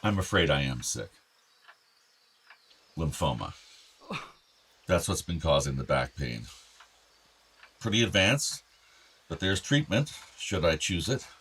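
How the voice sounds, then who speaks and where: An actor playing an ailing, tender older father in a radio play, reflective and gently earnest. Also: sits low, sing-song, slow, long pauses, breathy edge, heavily acted. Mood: grave, confessing. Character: ailing, tender, wistful aging father of two grown sons.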